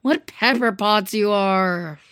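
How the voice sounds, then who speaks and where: A woman's voice: medium pitch, fairly bright tone, smooth. A woman reading aloud, voicing a frank, spirited young woman who coaxes and scolds a friend. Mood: coaxing. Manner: coaxing, brisk.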